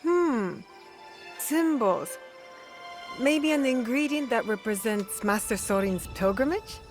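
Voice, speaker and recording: A woman playing a compassionate warrior and guiding big sister in a video game, calm and reassuring. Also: fairly high pitch, slow pace, soft background music. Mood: gentle. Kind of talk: video game cutscene acting.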